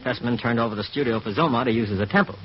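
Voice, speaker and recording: A man's voice: medium pitch, racing, close to an everyday voice. Man playing a wisecracking cop, muffled radio sound. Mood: matter-of-fact. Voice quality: slangy tough-guy talk. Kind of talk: 1940s radio drama acting. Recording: muffled vintage radio recording.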